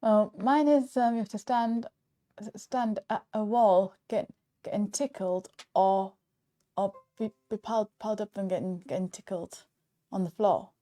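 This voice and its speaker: Soft-toned, hesitant woman co-host. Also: mid-range voice, a little slow. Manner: hesitant, stumbling, repeats words. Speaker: show co-host. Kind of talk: casual chatty presenting.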